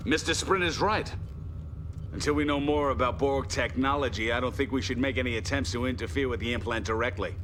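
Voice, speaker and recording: A man's voice: mid-range voice, a little fast, a bit bright, slight background noise, lightly acted. A man playing a stern starship captain. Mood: thoughtful. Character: stern male starship captain, demands punctuality.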